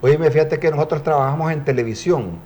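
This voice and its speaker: A man's voice: on the high side, brisk, a little mellow, a little hiss or hum. Teasing male TV host. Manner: teasing, probing questions. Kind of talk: playful casual on-air chat.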